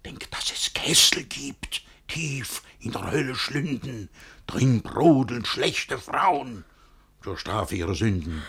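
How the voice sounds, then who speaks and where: A domineering, self-satisfied guardian in a verse radio play. His voice is husky and swings widely in pitch. He preaches pompously, with theatrical weight on his words. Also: expressive, slow, fairly bright tone, heavily acted. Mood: stern, preachy. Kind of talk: German radio play acting in rhymed verse.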